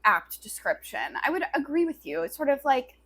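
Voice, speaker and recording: A woman's voice: high-pitched, very animated, normal speed, pushed and bright. A woman co-hosting a casual podcast, talking in a loose, very colloquial way peppered with filler words. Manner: very colloquial, loose valley-girl-like phrasing full of "like". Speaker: podcast co-host. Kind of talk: casual chat.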